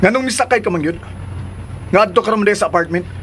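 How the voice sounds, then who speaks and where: Actor as an exasperated, high-voiced Cebuano man. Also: fast talker, noisy background, natural voice. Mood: reproachful. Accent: Filipino, Cebuano. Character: exasperated, defiant man torn between two women. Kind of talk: radio drama acting.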